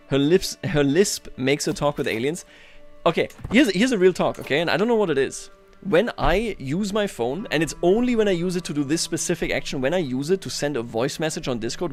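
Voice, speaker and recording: A man hosting a livestream, chatting casually and thinking aloud over a strategy game in a wry, playful way. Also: a little high. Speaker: livestream host.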